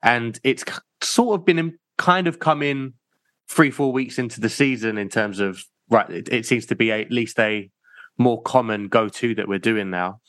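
A man's voice: medium pitch, moderate pace, fairly bright tone, dry recording. A man co-hosting a football podcast, thinking aloud in a hesitant way with many fillers. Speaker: podcast co-host. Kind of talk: casual football chat.

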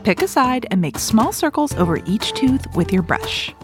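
A woman hosting a children's show, telling stories and giving simple instructions in an expressive way for young listeners. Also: a little high, normal speed. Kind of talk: scripted storytelling and instructions for children. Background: music.

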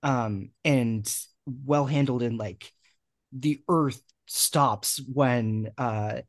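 A man's voice: medium pitch, extremely slow, no room sound. A male podcast host talking about a film in a hesitant, trailing way with frequent ums. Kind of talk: casual podcast film discussion.

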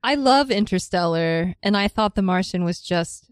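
A woman co-hosting an improv podcast in a studio, supportive and quick to react. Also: medium pitch, lively intonation, smooth, no room sound. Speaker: podcast co-host. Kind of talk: casual podcast chat. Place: radio studio.

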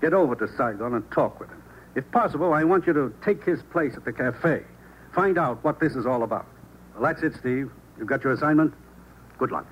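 Man acting a grave commissioner with animated pitch. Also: a little fast, lightly acted. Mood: grave, commanding. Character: authoritative government commissioner briefing his agent. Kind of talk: 1950s radio drama acting. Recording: muffled old radio recording, faint noise.

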